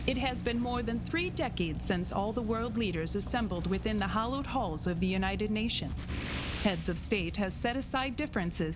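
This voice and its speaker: An actress playing a formal news reporter covering a world summit in a sci-fi motion comic, measured and announcing. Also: female voice, mid-range voice, lightly acted. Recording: noisy ambience behind the voice.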